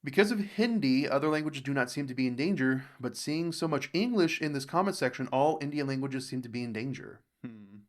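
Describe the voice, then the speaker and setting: An American man hosting a reaction video, reading viewer comments aloud and thinking out loud with frequent restarts. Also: mid-range voice, expressive, brisk, few pauses. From United States.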